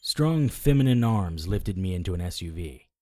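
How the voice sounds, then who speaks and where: An actor playing a battered, wry private investigator, narrating in the first person in an audio drama. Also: male voice, deep voice, sing-song, normal speed, a little mellow. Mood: weary, fading. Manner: dry, hard-boiled.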